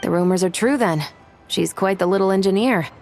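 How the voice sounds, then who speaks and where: A woman's voice: mid-range voice, a little fast, a little mellow, soft background music, lightly acted. A woman voicing a shrewd, composed female intelligence agent in a video game, articulate and slightly wry.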